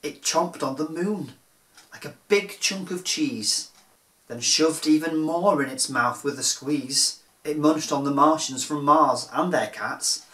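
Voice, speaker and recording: Unhurried, bright-voiced male storyteller. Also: on the high side, roomy sound, rough recording. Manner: theatrical, deliberate. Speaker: children's storyteller. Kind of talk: expressive rhyming picture-book reading.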